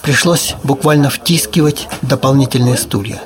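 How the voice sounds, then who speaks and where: A male reporter narrates a prepared radio feature. His pitch is average. A crowd is clearly heard behind the narration. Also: normal speed.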